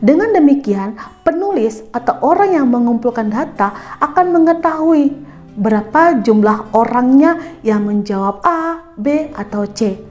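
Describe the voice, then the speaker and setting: The higher-pitched woman you would hear on an online school lesson video, instructing pupils directly over a music bed. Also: animated, measured pace, darkish tone, highs cut off. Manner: instructive, addresses pupils directly with check-in questions. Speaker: teacher presenting an educational video. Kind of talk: explanatory school lesson narration.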